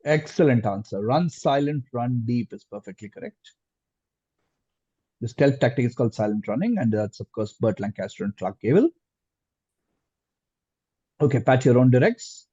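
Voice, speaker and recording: A man hosting a quiz live, reading questions aloud deliberately and repeating answers and phrases, over lo-fi online call audio. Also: mid-range voice, lively intonation, warm tone, husky, no echo. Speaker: quizmaster.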